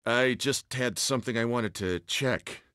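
A man playing a burly middle-aged police officer in a video game, gruff but friendly, hearty and protective. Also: medium pitch, unhurried, fairly bright tone, dry recording, studio quality, lightly acted. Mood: friendly, steady. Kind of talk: dramatic video game acting.

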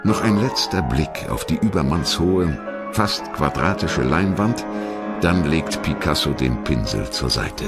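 A man reading a scripted biographical documentary narration in a measured, polished storytelling manner. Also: rumbling low, animated, over loud music.

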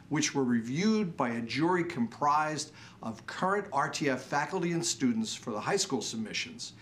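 A man in his sixties with an American accent presents an awards show as host, in a formal, measured, scripted delivery. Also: medium pitch, a bit bright, a little hiss or hum. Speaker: professor. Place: indoor TV studio.

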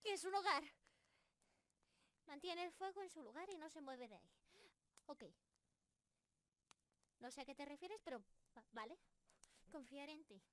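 A woman voicing a young squirrel monster girl in an anime-style ASMR roleplay, excitable, ditzy and stammering. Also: sits high, normal speed, long pauses. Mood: hesitant, wary. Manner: bubbly, excitable, stammering, giggly.

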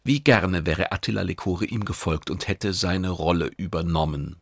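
Deep, gravelly male audiobook narrator. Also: normal speed, no echo, clean studio sound. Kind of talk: scripted narration of a science fiction novel.

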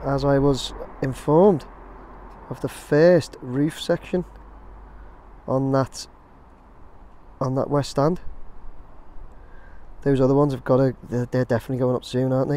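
A man's voice: mid-range voice, fairly even intonation, normal speed, long pauses, warm tone. A man with an English accent casually narrating his drone footage outdoors, rambling and chatty. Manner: rambling, chatty, addresses viewers as guys. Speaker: drone videographer. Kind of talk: casual vlog commentary. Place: outdoors near a construction site.

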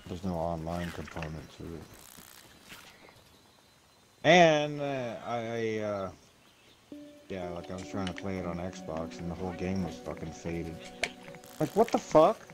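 A man's voice: low-pitched, very animated, unhurried, pauses often. A man chatting casually while gaming, rambling and swearing freely. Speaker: gamer talking while playing. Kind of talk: casual chat. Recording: clearly noisy game-session mic, clicks.

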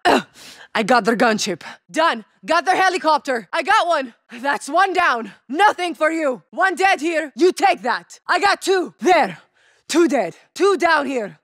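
A woman's voice: extremely high pitch, a bit bright, no echo, full character voice. A woman voicing a battle-hardened female soldier operator in a game, speaking in clipped military callouts with dry humor. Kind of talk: game voice lines.

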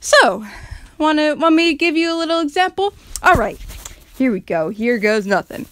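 A woman voicing a flirty, theatrical demon who stretches words, pauses for suspense, suddenly speeds up and sprinkles in filler words. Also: sits high, lively intonation, fairly bright tone. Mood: playful, explanatory. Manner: fluctuates between drawn-out words and fast bursts, suspense pauses, heavy filler words.

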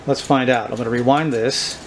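A man gives a casual hands-on demonstration as host of a homemade video. His voice sits at a medium pitch and he explains things informally, without hurry. Some noise runs behind him. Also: a little fast. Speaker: host of a vintage electronics video. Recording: clear steady background noise.

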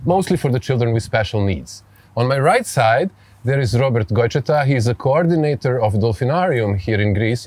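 A man's voice: medium pitch, a little mellow, no echo. A man in his forties from Serbia, hosting a podcast interview outdoors by a pool in English with a Serbian accent, hesitant and searching for words. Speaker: podcast host.

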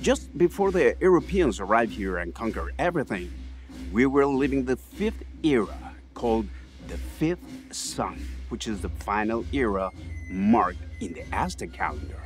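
Male actor as mystical shaman, lively melodic range, measured, solemn, audio drama. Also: on the low side, soft background music. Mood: solemn, foreboding. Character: earnest Mexican shaman and history teacher, male.

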